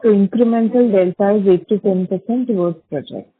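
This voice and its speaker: A woman's voice: mid-range voice, fairly quick, slightly rough, no echo. A woman with an Indian accent asking questions as an analyst on an earnings call. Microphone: conference call phone line. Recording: narrowband phone line.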